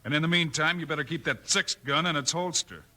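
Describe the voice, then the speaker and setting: An actor playing a steady, commanding, heroic Old West marshal, firm and level-headed, in a 1950s radio western. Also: male voice, fairly high pitch, fairly quick, lightly acted. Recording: noisy vintage radio recording.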